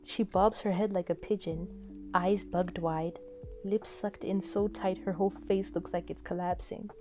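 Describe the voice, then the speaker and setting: A woman's voice: fairly low pitch, steady tone, unhurried. A woman reading scripted second-person story narration expressively, as the narrator of an audio fan fiction. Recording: music under the voice.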